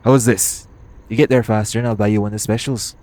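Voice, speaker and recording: A man's voice: on the low side, steady tone, fast, noisy background, close to an everyday voice. A man playing a cocky, teasing, foul-mouthed hitman in an audio roleplay. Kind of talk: audio roleplay acting addressed to the listener.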